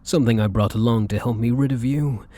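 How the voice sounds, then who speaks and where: A man playing a defiant, protective vampire in an ASMR roleplay, with husky, breathy delivery and theatrical, formal phrasing. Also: medium pitch, quick pace, mellow, natural voice. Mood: cold, guarded. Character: defiant, protective young male vampire, bitter and menacing. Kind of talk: ASMR audio roleplay acting.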